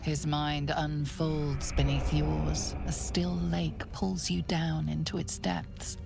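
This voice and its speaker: An actress playing a grave, ominous fantasy game narrator, speaking in a hushed, dramatic way. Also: female voice, low voice, little pitch movement, slow pace, gravelly, music in the background, full character voice.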